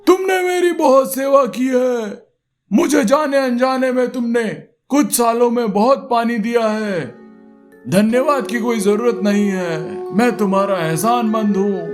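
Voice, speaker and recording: A man's voice: extremely high pitch, measured pace, faint music behind. A man voicing a kindly magical talking tree in a children's cartoon, speaking in an earnest, declamatory way. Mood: kind, generous. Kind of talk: Hindi children's cartoon dubbing.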